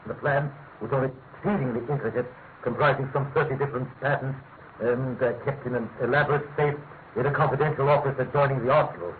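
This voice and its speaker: An actor playing a pompous, fussy middle-aged government official with a British accent, halting and emphatic, in classic radio mystery style. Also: male voice, mid-range voice, natural voice. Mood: grave. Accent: British English. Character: pompous, fussy male senior government official, gravely insistent. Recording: tinny, narrow-band radio recording with hiss.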